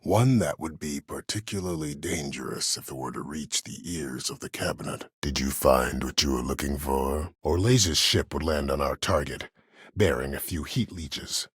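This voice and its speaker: An actor playing a cold, proud, older former war admiral in a video game, speaking formally and with measured authority in a gravelly, husky voice. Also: male voice, very deep voice, fairly bright tone, no echo, clean studio sound, heavily acted. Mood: cool, commanding. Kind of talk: dramatic video game dialogue.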